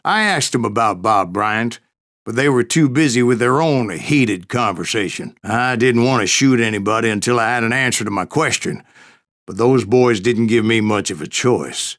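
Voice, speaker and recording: A male actor playing a grizzled old Wild West bounty hunter in a video game, with a gravelly American voice and a folksy, wry way of telling his tales. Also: medium pitch, lightly acted. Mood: rueful. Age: older. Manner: folksy, wry storytelling drawl. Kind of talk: dramatic first-person game narration.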